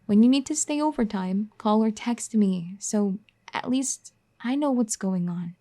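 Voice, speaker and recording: A caring young wife, firm and a little bossy, as a woman acts her out. Her voice is mellow and dark, soft-spoken and gently scolding, in an ASMR roleplay style. She talks at an ordinary middle pitch. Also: a little slow, natural voice.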